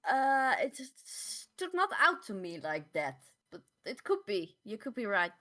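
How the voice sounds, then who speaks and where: A woman's voice: medium pitch, sing-song, measured pace, pushed and bright. A woman co-hosting a podcast in casual conversation, speaking English as a second language with non-native phrasing. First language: not English. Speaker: podcast co-host. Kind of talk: casual discussion of audiobooks.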